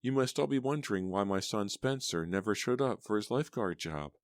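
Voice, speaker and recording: A man's voice: fairly low pitch, animated, brisk, no room sound, studio quality, voice pushed up. A man voicing a grieving middle-aged mother on a speakerphone, trembling, stammering and sobbing. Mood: distraught, tearful.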